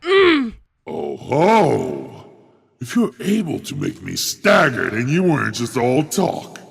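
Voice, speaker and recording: An anime giant, pompous and fanatical. His delivery is slow and grandiose, heavy with gravelly creak and swinging widely in pitch. He speaks formally, like a self-important zealot. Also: huge pitch swings, full character voice. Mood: boastful. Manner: grandiose, formal, booming when worked up. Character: pompous, fanatical giant muscle-bound man with monstrous body. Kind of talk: anime fan dub acting.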